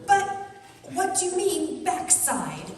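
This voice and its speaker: A woman acting a naive, excitable, gossipy choir lady in an amateur radio theatre comedy. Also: extremely high pitch, takes it slowly, full character voice. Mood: naive excitement. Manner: dim, wide-eyed, muddles big words. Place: college radio theatre performance in a hall. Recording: very echoey noisy hall, distant.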